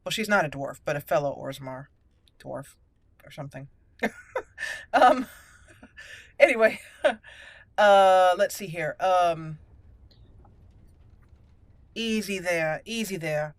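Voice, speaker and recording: A woman's voice: lowish voice, lively intonation, slow, long pauses, no room sound. A woman hosting a roleplay let's play, chatty and playful, thinking aloud as she comments on the game. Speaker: YouTube gaming host.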